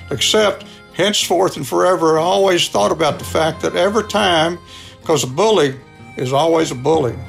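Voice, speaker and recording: A man's voice: on the high side, gravelly, fairly bright tone. An older man with a Texan accent telling a personal story in a folksy way, acting out the dialogue as he goes. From Texas, United States. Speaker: storyteller, radio show contributor.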